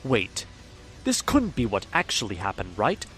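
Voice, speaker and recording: A man's voice: lowish voice, huge pitch swings, measured pace, a bit bright, faint music behind. A man narrating a fan audio drama in a hammy, theatrical way, speaking straight to the audience. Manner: hammy, fourth-wall-breaking storyteller.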